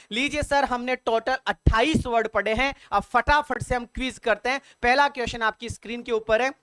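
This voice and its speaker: Smooth-voiced man teaching vocabulary drills. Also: extremely high pitch, sharp tone, no room sound. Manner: repetitive drilling, spells words out letter by letter; addresses viewers as sir and dear children. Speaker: exam-prep English teacher. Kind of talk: online vocabulary lesson in Hindi.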